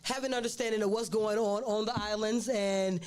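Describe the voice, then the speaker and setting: A woman's voice: medium pitch, steady tone, fairly quick, darkish tone, clear, resonant tone. A woman hosting a casual interview, rambling and restarting her words with many fillers. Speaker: host.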